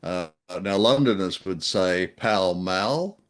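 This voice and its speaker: A man's voice: low-pitched, takes it slowly. A man co-hosting a casual review discussion, talking in a wry, dryly humorous way with hesitant phrasing. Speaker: podcast co-host.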